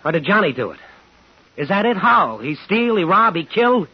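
Bitter, high-voiced man, old radio. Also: big pitch swings, moderate pace, husky, exaggerated voice. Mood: sardonic, pressing. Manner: terse, sardonic, needling questions. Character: bitter, relentless man home after years, hunting a killer. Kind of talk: 1950s radio drama acting. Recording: muffled, noisy 1950s radio recording.

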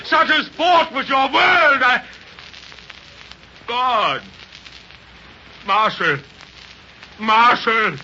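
An actor playing a desperate pioneer landowner, impassioned and imploring, in 1930s radio drama style. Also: male voice, very high voice, animated, extremely slow, long pauses, pushed and bright, full character voice. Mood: despairing. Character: desperate pioneer landowner pleading with deserting workmen. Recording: muffled, hissy 1930s radio recording.

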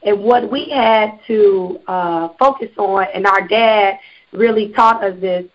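A woman in her twenties, a gospel singer guest, speaks earnestly in a testifying way, slowing down on the points she stresses. The sound is somewhat dull and lo-fi. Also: medium pitch. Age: 20s. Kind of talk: radio interview.

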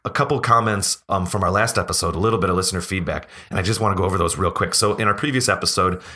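Podcast host, male, deep and hoarse, quick and bright, chatty with frequent restarts. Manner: chatty, laughing, rambling restarts. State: hoarse, losing his voice. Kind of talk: casual podcast chat.

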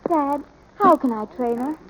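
A woman's voice: high-pitched, measured pace, low-quality recording. A performer voicing an eager, anxious young American ranch boy, earnest and hesitant, in 1940s radio drama style. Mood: worried. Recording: vintage radio drama recording.

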